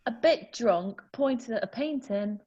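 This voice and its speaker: A woman's voice: a little high, lively intonation, a little slow, dark tone, dull audio. A woman reading the stage directions aloud in a plain, matter-of-fact way at an amateur online play reading.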